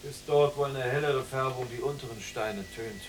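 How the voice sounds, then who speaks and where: Young male prisoner played by a man, mid-pitched, restless, clipped poetic phrasing, radio drama, faint hum. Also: normal speed, natural voice. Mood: watchful, measured. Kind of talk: literary radio play dialogue.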